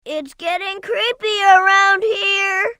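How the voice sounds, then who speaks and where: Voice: fairly even intonation, slow pace, bright, forceful tone, dry recording, studio quality, full character voice. A performer voicing an earnest, eager little boy fox sidekick in a video game, with a childlike voice. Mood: curious. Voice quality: high, childlike.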